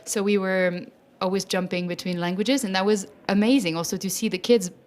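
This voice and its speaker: A woman's voice: mid-range voice, steady tone, fairly quick, a little mellow. A Swiss French mezzo-soprano, a native French speaker, talking with enthusiasm in an interview about her opera workshops for children, laughing often. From French-speaking Switzerland. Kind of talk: festival interview.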